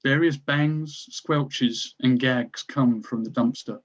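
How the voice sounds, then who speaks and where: A man's voice: medium pitch, slow, lo-fi sound. A man reading stage directions as scripted narration for a screenplay table read.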